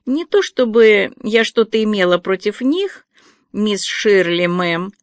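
A woman's voice: mid-range voice, animated, takes it slowly, no room sound, studio quality, pitched above the performer's own voice. A woman reading aloud voices a chatty, freckled teenage girl, eager and anxious, in breathless, earnest chatter.

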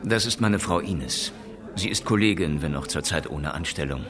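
An actor playing a self-assured, ingratiating professor in a radio drama, talking smoothly and probingly. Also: male voice, deep voice, moderate pace, over music, close to an everyday voice. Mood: polite, probing. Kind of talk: naturalistic radio drama acting.

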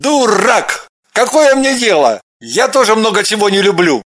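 A jealous, hot-tempered husband, played by a male narrator, barks and growls his lines. His voice is pushed loud, sits high for a man and swings widely in pitch. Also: very animated, moderate pace, full character voice. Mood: furious. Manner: shouting, snapping, growling.

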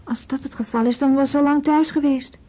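A woman's voice: high-pitched, fairly even intonation, fairly quick, dark tone, lightly acted. An actress playing a troubled young woman in a radio drama, wry and anxious, talking hesitantly. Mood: troubled, self-doubting. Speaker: actor in a radio play. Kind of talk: naturalistic radio drama dialogue.

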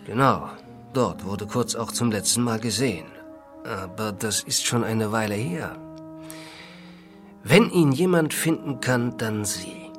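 Shadowy bureaucrat, low voice, formal measured phrasing, portentous, radio play, music underneath. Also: male voice. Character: evasive, formal male official sending agent on mission. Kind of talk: radio drama dialogue.

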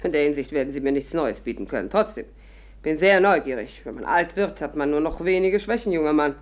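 An actress playing an imperious, curious old stage diva with a declamatory, condescending manner in a 1950s radio play. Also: female voice, sits low, expressive, brisk. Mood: imperious, confiding. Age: older.

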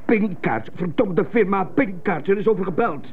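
An actor playing a nervous office clerk who stutters and speaks with effort in a radio drama. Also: male voice, high voice, expressive, normal speed. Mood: exasperated. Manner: hesitant, effortful speech. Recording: muffled, noisy old radio drama recording.

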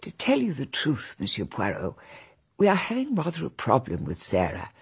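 A woman's voice: rumbling low, wildly swooping pitch, moderate pace, gravelly, husky, full character voice. An actress playing a genteel, confiding elderly English grandmother and hostess who rambles on in a radio drama. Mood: confiding, troubled. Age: older.

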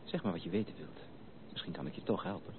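An actor playing a principled, reserved gentleman suitor in a Dutch radio drama, speaking in a measured, formal and courteous way. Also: sits low, natural voice. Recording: narrow tinny old radio recording, faint hiss.

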